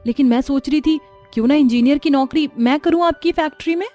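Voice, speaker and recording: A woman's voice: high-pitched, quick pace, mellow, faint music behind, lightly acted. A woman voicing an earnest young village wife who thinks aloud in a storybook cartoon. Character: young village wife, engineer, later a witch.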